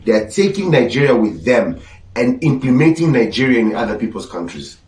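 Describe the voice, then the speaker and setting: A man's voice: mid-range voice, very animated, brisk, darkish tone. Blunt podcast host, male, Portuguese first language, preachy delivery, distant mic in an echoey room. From Angola. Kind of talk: opinionated commentary.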